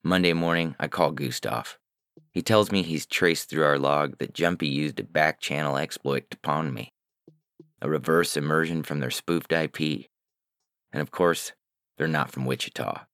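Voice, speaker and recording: A man playing a weary, paranoid hacker who narrates a science fiction audiobook in a terse, deadpan, sardonic manner. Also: very deep voice, moderate pace, clean tone, dry recording, studio quality.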